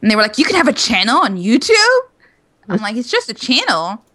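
A woman's voice: a little high, huge pitch swings, brisk, sharp tone. A woman in her thirties talking casually as a co-host in a group conversation. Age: 30s. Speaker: podcast co-host.

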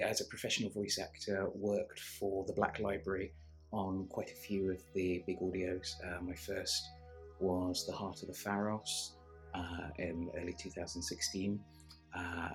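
A man's voice: sits low, vocal fry, low-quality recording. A middle-aged man, a voice actor, talking casually and chattily with frequent hesitation. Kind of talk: casual testimonial.

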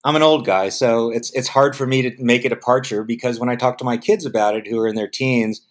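Middle-aged guy chatting in an interview, mid-pitched voice, acts out other people's lines when he tells a story. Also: fast talker. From United States. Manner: repeats words when restarting. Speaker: film producer guest. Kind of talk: casual interview talk.

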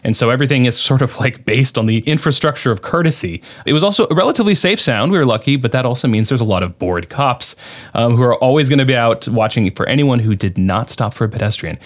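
A man from Southern California co-hosting a podcast, telling anecdotes in a relaxed way. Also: mid-range voice, quick pace. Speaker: podcast co-host. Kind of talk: conversational podcast talk.

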